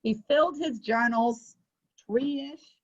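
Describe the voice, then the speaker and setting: Slow, husky woman reading to children. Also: sits high. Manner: exclaiming, talking to young listeners. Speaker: host of children's story show. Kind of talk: children's read-aloud with chat. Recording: muffled lo-fi audio.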